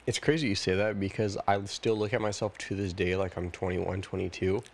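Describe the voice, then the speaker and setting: A man's voice: sits low, fairly even intonation, fairly quick. A man in his twenties talking casually as the co-host of a podcast. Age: 20s. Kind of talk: casual chat.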